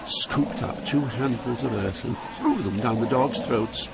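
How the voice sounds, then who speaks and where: A man playing an awed, solemn poet recounting a journey through hell, deliberate, with dramatic pauses. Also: medium pitch, gravelly, very noisy. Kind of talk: dramatized scripted narration.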